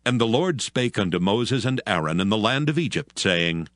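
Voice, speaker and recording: Male narrator, lower-pitched voice, scripted scripture narration, dry close sound. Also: lively intonation, moderate pace, fairly bright tone, dry recording.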